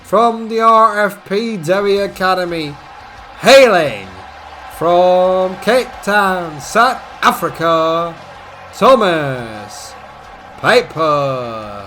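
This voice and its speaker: Guy doing wrestling announcing, higher voice, hams it up loud then drops low, bit of music under it. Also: expressive, drawn-out words. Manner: theatrical, swings between booming shouts and low asides. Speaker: wrestling e-fed announcer and commentator. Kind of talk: play-by-play ring announcing and commentary.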